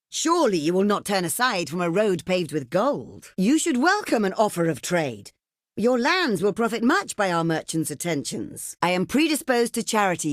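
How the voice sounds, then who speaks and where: An imperious, shrewd queen of a desert realm in a video game. Her voice sits fairly high and moves expressively up and down through formal, theatrical declamation. The recording is very dry and close, with no room around it. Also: no room sound. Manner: regal, formal, measured declamation. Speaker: game character voice actor.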